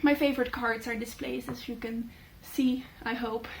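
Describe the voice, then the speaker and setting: A young Dutch woman, a native Dutch speaker, chatting casually in a vlog with many fillers and thoughtful pauses. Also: fairly high pitch, steady tone, vocal fry, slight background noise. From Netherlands. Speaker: book channel host. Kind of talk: casual talking-head vlog.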